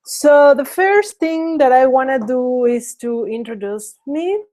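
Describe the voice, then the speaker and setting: A woman's voice: high voice, expressive, slow, darkish tone. A woman from Mexico in her thirties hosting a casual webinar, speaking hesitantly with many fillers and restarts. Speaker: webinar host.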